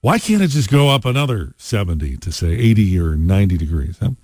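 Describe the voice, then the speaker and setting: Animated American rock radio DJ, dry studio sound. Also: male voice, fairly low pitch, a little fast, no room sound. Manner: casual, conversational, playful asides. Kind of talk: upbeat classic rock radio DJ patter. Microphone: close studio broadcast mic.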